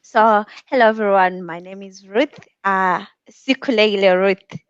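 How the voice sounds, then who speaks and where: Young adult woman from Zimbabwe, guest speaker; earnest, measured delivery; dry, muffled lo-fi audio. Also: medium pitch, smooth, dry recording. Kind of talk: reflective online talk. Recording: dull, dry lo-fi sound.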